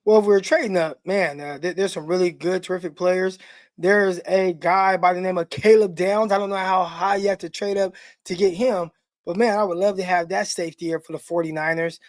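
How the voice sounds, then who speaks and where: High-voiced man, dry close sound. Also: fairly even intonation, no echo. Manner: enthusiastic, opinionated, quick asides. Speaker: co-host, former pro football player. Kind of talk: live podcast draft talk.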